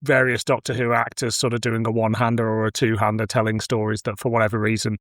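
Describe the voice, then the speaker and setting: A man's voice: fairly low pitch, monotone, fast, fairly bright tone, no room sound. Blunt, informal man in a dry recording. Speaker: podcast co-host reviewing audio drama. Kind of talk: casual review discussion.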